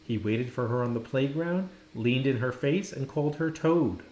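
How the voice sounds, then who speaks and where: A man reading a children's story aloud, dramatically, with pauses and stressed words. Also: medium pitch, a little mellow. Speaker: storybook reader. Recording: roomy echo, faint background noise.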